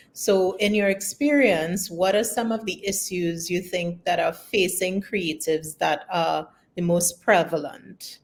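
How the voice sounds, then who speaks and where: Trini woman hosting a panel, lowish voice, takes her time. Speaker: panel moderator.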